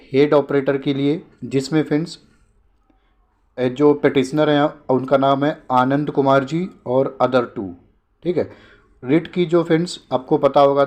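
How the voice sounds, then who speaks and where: A man's voice: mid-range voice, a little mellow. A man hosting an informal news explainer, repeating points and posing short questions that he answers himself. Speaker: host of an exam updates channel.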